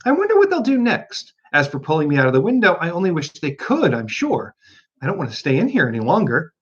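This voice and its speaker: A man's voice: on the high side, wildly swooping pitch, fast, slightly rough, dull audio, heavily acted. A man voicing an earnest young storybook girl who muses and frets as she talks to herself. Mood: wondering. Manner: musing, fretful, talking to herself.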